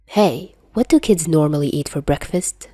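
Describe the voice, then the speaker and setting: A woman's voice: deep voice, normal speed, mellow, no room sound, studio quality. A woman voicing a casual, easygoing young man who talks nonchalantly in a story reading.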